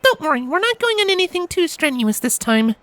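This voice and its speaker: A man's voice: extremely high pitch, big pitch swings, brisk, sharp tone, dry recording, pitched above the performer's own voice, full character voice. A man voicing a cheerful, eager young female pony with a reassuring manner in a storybook reading.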